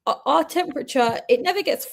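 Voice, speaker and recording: A young woman with a British accent chats loosely, piling on fillers and drifting off on tangents. Her voice sits a little high, with a gravelly fry running through it. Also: animated, brisk. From United Kingdom. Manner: chatty, rambling, many fillers. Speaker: co-host. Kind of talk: casual chat.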